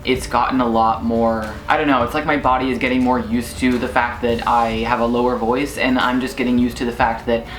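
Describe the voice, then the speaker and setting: A man's voice: mid-range voice, flat delivery, fairly quick, hardly any pauses, a bit bright, roomy sound, a little hiss or hum. A young man in a casual video diary, talking loosely with lots of filler words. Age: young adult. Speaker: vlogger.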